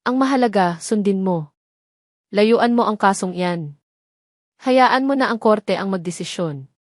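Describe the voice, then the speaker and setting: A woman's voice: mid-range voice, animated, a little slow, no room sound, clean studio sound, close to an everyday voice. Woman narrator as a grave older police general. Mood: grave warning. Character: older male police general, fatherly turning cold and stern.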